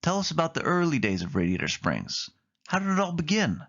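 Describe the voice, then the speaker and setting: A man's voice: on the high side, huge pitch swings, a little fast, vocal fry, fairly bright tone, voice pushed up, full character voice. A man voicing an easygoing, helpful young male race car with a casual manner in a children's storybook. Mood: curious. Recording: dull, muffled lo-fi recording.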